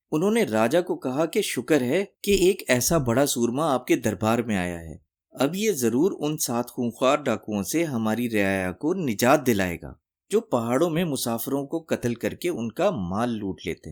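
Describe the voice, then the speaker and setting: A man's voice: medium pitch, darkish tone. A man narrating a scripted folk tale for children as a storyteller.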